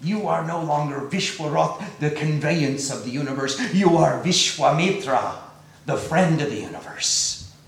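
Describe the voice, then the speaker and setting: A storyteller voicing a solemn female goddess of wisdom who proclaims slowly, in a very echoey room. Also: medium pitch, lively intonation, vocal fry, pitched below the performer's own voice.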